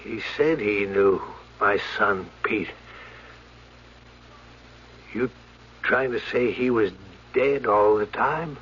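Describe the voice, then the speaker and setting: An actor playing a weary, insistent elderly doctor with a folksy, hesitant way of talking in a radio drama. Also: male voice, lowish voice, takes it slowly, pauses often, fairly bright tone. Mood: puzzled, uneasy. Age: older. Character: weary elderly doctor who landed a plane, insistent, plain-spoken. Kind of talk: 1970s radio drama acting. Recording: muffled radio broadcast with hum.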